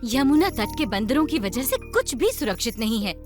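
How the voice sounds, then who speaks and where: A woman's voice: fairly high pitch, sing-song, moderate pace. An actress playing an exasperated village mother in a Hindi children's cartoon, with a scolding, dramatic delivery. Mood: scolding, annoyed. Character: exasperated village mother guarding her butter. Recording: background music under dialogue.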